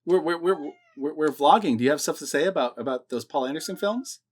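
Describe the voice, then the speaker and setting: Higher-voiced man hosting a casual vlog. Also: animated, quick pace. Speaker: host of a horror movie review vlog. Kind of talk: casual review chat.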